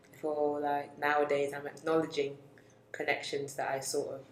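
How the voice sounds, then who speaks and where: Young adult speaker, mid-pitched, soft and musing, roomy echo. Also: noisy background. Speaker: co-host. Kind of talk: reflective personal conversation. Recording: echoey room.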